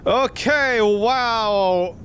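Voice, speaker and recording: A man's voice: high-pitched, very slow, fairly bright tone, slight background noise. A man giving casual, sarcastic and incredulous commentary as he streams a video game.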